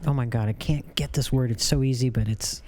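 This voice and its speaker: A bubbly woman hosts a relaxed interview and teases her guest playfully. She laughs easily and keeps the chat light. She is from Florida. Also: very deep voice, brisk. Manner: bubbly and teasing, laughs often. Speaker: host. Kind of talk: casual interview.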